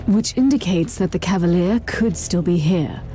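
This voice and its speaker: A woman's voice: on the low side, moderate pace, heavy background noise, close to an everyday voice. A woman acting a stern, commanding female Inquisitor. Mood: grim, resolute. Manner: commanding, curt, formal. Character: stern zealous female Inquisitor, battle sister, commanding and unforgiving. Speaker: video game lead character. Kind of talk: video game voice acting.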